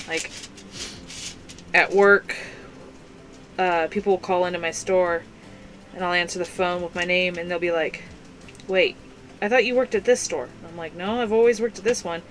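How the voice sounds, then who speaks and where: A woman's voice: lowish voice, steady tone, normal speed, long pauses, slight background noise, low-quality recording. A young woman hosting a crafting stream, chatting casually and rambling with lots of fillers. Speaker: crafting channel host. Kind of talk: casual chat while crafting.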